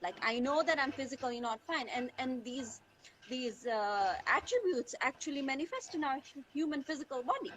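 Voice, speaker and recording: A woman's voice: sits high, expressive, moderate pace, a bit bright, rough recording. A woman from Tanzania answering as an interview guest in a conversational way, searching for words and repeating phrases for emphasis. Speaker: interview guest, teacher and mental health advocate. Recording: online call with faint background noise.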